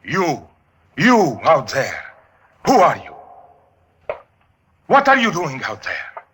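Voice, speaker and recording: An actor playing a desperate, menacing opera singer turned murderer in a vintage radio thriller, with dramatic, deliberate, formal phrasing. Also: male voice, on the high side, wildly swooping pitch, fairly bright tone, a little hiss or hum, heavily acted. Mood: frantic. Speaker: actor and first-person narrator. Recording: vintage radio recording with music.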